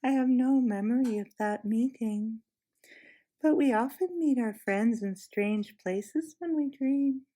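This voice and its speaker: Sweet hushed witch queen done by a woman reading aloud, coaxing, a little mocking, slow and soft. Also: on the high side. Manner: soft, sweet, quiet and musical. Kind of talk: storybook reading.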